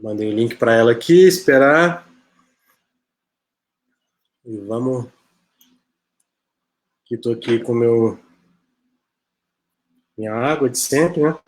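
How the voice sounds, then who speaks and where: A man hosts a live online chat in a relaxed, conversational way. He leans on little "né?" tags and speaks at an ordinary middle pitch. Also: takes it slowly, long pauses. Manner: chatty, relaxed, many "né?" tags. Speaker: host of an online interview show. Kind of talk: casual live-stream chat.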